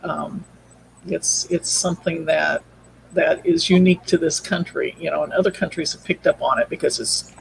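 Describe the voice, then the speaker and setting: A man's voice: fairly high pitch, normal speed, gravelly, background noise. A man speaking as an interview guest, explaining things in a casual, rambling way. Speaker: podcast guest, audio drama creator.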